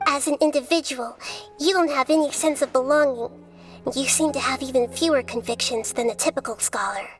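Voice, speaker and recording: A woman performs a youthful, wise goddess for a game, with a very high voice and solemn, deliberate diction. She is composed, shrewd and quietly challenging. Music plays underneath. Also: lightly acted. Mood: accusing, firm. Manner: earnest, deliberate, formally articulate, challenging. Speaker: ruler deity negotiating with an enemy. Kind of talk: dramatic video game voice acting. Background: background game music.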